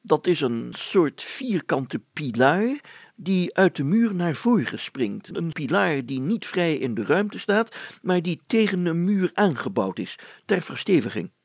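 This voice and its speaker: Male narrator as older male mayor, storybook figure, pompous, fussy, hemming and hawing, creaky, dry close sound. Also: fairly high pitch, sing-song, no echo, pitched above the performer's own voice, exaggerated voice. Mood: searching, explaining. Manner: formal, deliberate, hemming and hawing.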